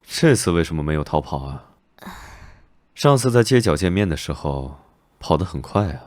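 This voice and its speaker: An actor playing a cool, aloof investigator in an animated drama, dryly dismissive in manner. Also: male voice, low voice, normal speed, no echo, studio quality. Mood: dry, scornful. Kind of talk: Chinese animated drama voice acting.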